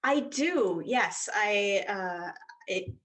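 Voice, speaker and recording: A woman's voice: mid-range voice, lively intonation, drawn-out words, a bit bright. A woman author chats about her work on a video call. She sounds friendly and eager, with a mid-range voice. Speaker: author and player. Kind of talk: casual chat on a video call.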